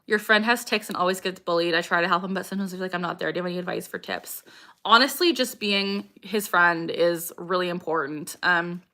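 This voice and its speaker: A woman's voice: mid-range voice, bright, forceful tone. A young woman in her twenties hosting a casual livestream Q&A. She reads viewer questions aloud and greets people by name, with frequent vocal tics and bursts of laughter. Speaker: livestream host.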